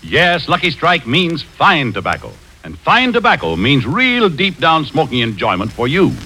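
A man's voice: on the high side, wildly swooping pitch, moderate pace, bright, forceful tone, husky. A man delivering an emphatic 1940s radio commercial with repeated slogans. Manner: emphatic, repetitive. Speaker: commercial announcer. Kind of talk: 1940s radio sales pitch. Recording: vintage 1940s radio recording.

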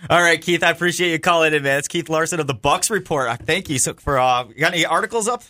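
A man's voice: fairly high pitch, fast talker, bright, forceful tone, dry recording. A man hosting a sports radio show in casual conversation.

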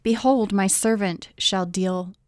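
A woman's voice: medium pitch, steady tone, slow, no echo. Co-host, middle-aged woman, affirming, playful, close dry studio sound. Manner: affirming, teasing, frequent agreeing backchannels. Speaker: radio Bible show co-host. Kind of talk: conversational Bible discussion.

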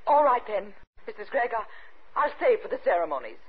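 Anxious widow, thin old-radio sound. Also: female voice, on the high side, big pitch swings, brisk, background noise. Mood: guarded, nervous. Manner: dramatic, intense, confessional first-person telling. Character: anxious, cold-hearted widow, outwardly proper, inwardly unravelling. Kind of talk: 1950s radio thriller acting and narration. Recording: muffled narrow-band 1950s radio with music.

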